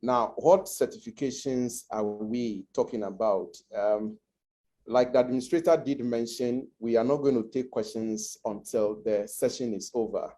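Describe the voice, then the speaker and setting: A middle-aged man, slightly hoarse from a cold, presenting an online information session with many hesitant pauses. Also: medium pitch, warm tone, low-quality recording. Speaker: guest presenter.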